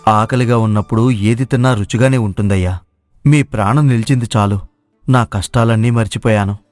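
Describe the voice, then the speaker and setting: A man's voice: fairly low pitch, fairly quick, darkish tone, natural voice. A man playing a humble, kind-hearted village cook in a moral story, speaking earnestly and plainly. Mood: humble, sincere. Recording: dry studio voice over music.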